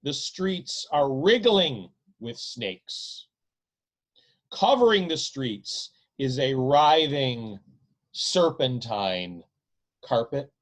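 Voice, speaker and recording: A man reading his own novel aloud as literary narration, in a deliberate, dramatic manner with drawn-out, emphatic phrasing. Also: mid-range voice, fairly bright tone.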